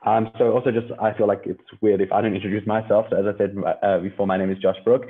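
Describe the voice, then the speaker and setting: A man's voice: lowish voice, flat delivery, quick pace, husky. A South African man hosting an online panel, speaking in a friendly way with loose phrasing and fillers. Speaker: panel host. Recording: tinny phone-like line.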